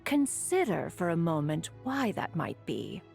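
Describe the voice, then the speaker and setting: Proud female immortal, lively intonation, formal archaic diction, aloof, haughty, game dialogue, faint music. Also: lowish voice, unhurried, gravelly, exaggerated voice. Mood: composed. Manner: formal, haughty, refers to self as one. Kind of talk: game voice acting.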